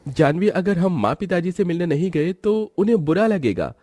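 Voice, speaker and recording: A man's voice: high voice, big pitch swings, moderate pace, a little mellow, no echo, studio quality, pitched above the performer's own voice. A man voicing an earnest adult son and husband who explains things in a family story. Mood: firm.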